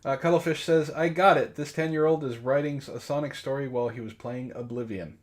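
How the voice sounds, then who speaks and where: A male podcast host with a medium-pitched voice. He chats casually and comedically, bantering with his co-hosts in a mock-exasperated tone. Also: a little fast. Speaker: book club host.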